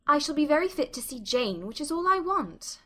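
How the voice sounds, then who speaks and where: A woman voicing a spirited, determined young gentlewoman, brisk and assertive, in a dramatized audiobook. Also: sits high, very animated, voice pushed up, full character voice.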